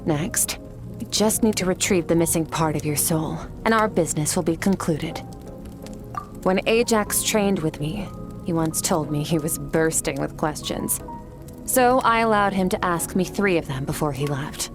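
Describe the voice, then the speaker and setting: Woman acting an aloof warrior mentor, low-pitched, composed, terse, formal, anime game, clear background music. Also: normal speed, natural voice. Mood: composed, matter-of-fact. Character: cool, aloof warrior woman from beyond the stars.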